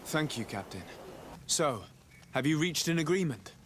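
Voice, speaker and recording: A man playing a calm, courteous noble envoy in a video game, speaking in a measured, formal, conciliatory way. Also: on the high side, slight background noise. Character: calm diplomatic male legate, courteous young noble envoy. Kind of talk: scripted video game cutscene dialogue.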